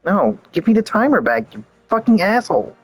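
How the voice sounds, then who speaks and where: A man giving casual, informal play-through commentary as a game streamer, animated and incredulous. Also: high-pitched, brisk, soft background music, rough recording.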